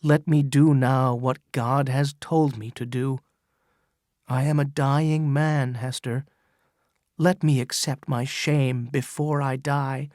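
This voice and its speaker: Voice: mid-range voice, steady tone, slow, darkish tone, no echo, clean studio sound, natural voice. A storyteller voicing a dying young male minister who speaks in a solemn, declaiming way. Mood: resolute.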